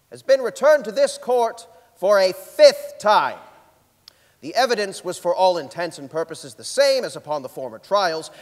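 A young man playing a stern, indignant supreme court justice in a formal, declamatory dramatic reading. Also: high-pitched. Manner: solemn, declamatory, emphatic. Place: large theatre stage, indoors. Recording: reverberant theatre hall.

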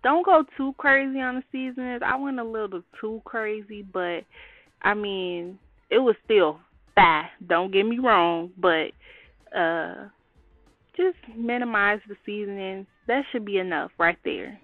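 A woman's voice: mid-range voice, a little slow, dry recording, phone-quality sound, faint music behind. A young woman hosting a casual home cooking video, talking viewers through a recipe in a chatty, slangy way. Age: young adult. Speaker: cooking vlogger. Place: small home kitchen, indoors.

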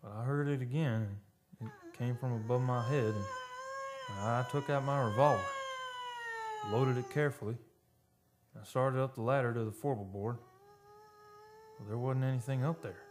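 An actor playing a haunted oil driller in a radio drama, telling his story in a folksy, halting, suspenseful way. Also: medium pitch, background noise, lightly acted. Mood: uneasy. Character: haunted male oil driller recounting a terror. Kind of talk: live old-time radio drama monologue. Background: live dramatic music.

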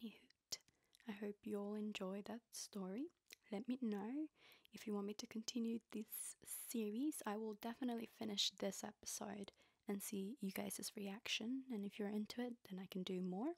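A young woman reading a story aloud in a slow, soft, breathy ASMR whisper, her voice low. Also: steady tone, no room sound. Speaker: ASMR host. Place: dark room lit by a candle.

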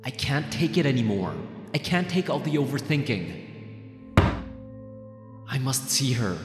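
A man's voice: mid-range voice, big pitch swings, a little slow. A man playing an obsessive, lovesick young ex-boyfriend in an ASMR roleplay monologue, anxious, possessive and agonized. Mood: desperate, longing. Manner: brooding, agonized, self-questioning. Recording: reverberant echoey voice over music. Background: music.

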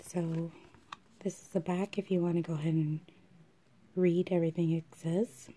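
A woman with a froggy, hoarse voice from a cold, chatting casually as she unboxes beauty products at her vanity table, often half-whispering and clearing her throat. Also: on the low side, level tone, moderate pace, dark tone. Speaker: beauty vlogger. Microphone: camera over the table, hands only in view.

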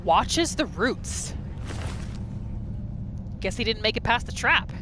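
A woman hosting roleplay game commentary, narrating her character in the third person in an expressive, dramatizing way. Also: on the high side, slow, music in the background. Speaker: gaming video host.

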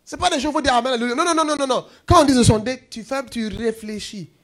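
A young man preaching a sermon in a church on a handheld mic, emphatic and repetitive, at times breaking into shouts. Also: very high-pitched, fast. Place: church stage, indoors.

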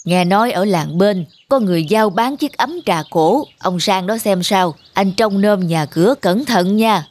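A woman voicing a kindly, calm older male antique collector in a moral folk-tale cartoon, measured and gently instructive. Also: medium pitch, close to an everyday voice. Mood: modest, kindly.

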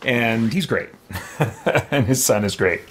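Middle aged guy doing a chill let's play, slow paced talk, bit of game noise in the background. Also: mid-range voice, fairly bright tone. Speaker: gaming streamer. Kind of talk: casual let's play commentary, reading dialogue choices aloud. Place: small indoor room with shelves.

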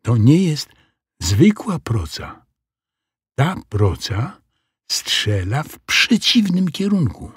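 A man's voice: mid-range voice, very animated, slow pace, long pauses, husky, no echo, studio quality, pitched above the performer's own voice, full character voice. A man voicing a clever, confident little girl in a children's audio story, explaining things with assurance. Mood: eager.

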